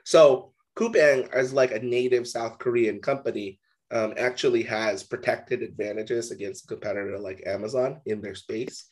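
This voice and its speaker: Male co-host, mid-pitched, relaxed rambling delivery, lots of filler "like". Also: moderate pace. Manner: casual, heavy use of "like". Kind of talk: casual business discussion.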